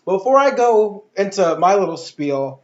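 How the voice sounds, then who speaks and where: A man's voice: sits high, sing-song, moderate pace. An adult man giving a casual, opinionated movie review in a blunt, exasperated, slangy way. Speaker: video reviewer and host. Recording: echoey room, dull lo-fi audio.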